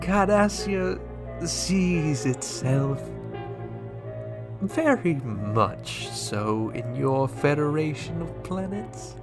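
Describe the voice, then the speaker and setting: A man playing a scheming, coolly menacing alien ambassador in a sci-fi radio drama, formal, deliberate and insinuating. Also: fairly high pitch, animated, over music, heavily acted. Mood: smugly menacing. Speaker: voice actor.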